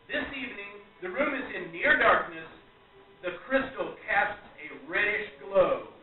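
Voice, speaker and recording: A man narrating a radio play as its moderator, reading the script in a theatrical, deliberate storytelling manner. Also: high voice, a crowd in the background. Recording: very echoey, distant, tinny, noisy hall.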